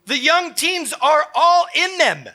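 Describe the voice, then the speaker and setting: A man's voice: very high-pitched, expressive, takes it slowly, pushed and bright. A man co-hosting a live comedy show, reading comments with dramatic, exaggerated delivery. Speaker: comedy show co-host and performer.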